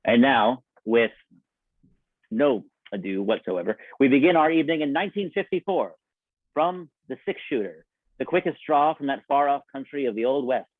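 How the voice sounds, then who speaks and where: A man's voice: medium pitch, expressive, moderate pace, no echo, phone-quality sound. A man hosting and announcing a radio show in a wry, theatrical way, over thin band-limited sound. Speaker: host and announcer. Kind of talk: scripted radio-show introduction with ad-libs.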